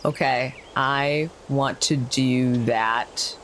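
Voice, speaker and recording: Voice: mid-range voice, fairly even intonation, drawn-out words, fairly bright tone, slight background noise. A tabletop role-playing player talking casually, thinking aloud through tactics.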